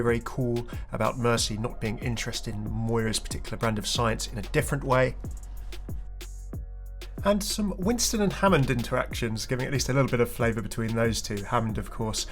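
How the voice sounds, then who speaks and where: A man's voice: medium pitch, a little fast. A man doing enthusiastic, wordy video commentary on game lore as a channel host. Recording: music behind the voice.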